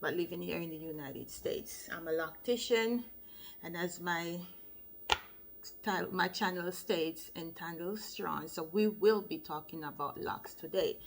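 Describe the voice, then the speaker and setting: A woman's voice: on the low side, measured pace, gravelly, slight background noise. A woman with a Jamaican accent, a loctician talking casually to camera and explaining things step by step, often checking in with "okay?". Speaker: loctician and channel host. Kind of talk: casual advice vlog to camera.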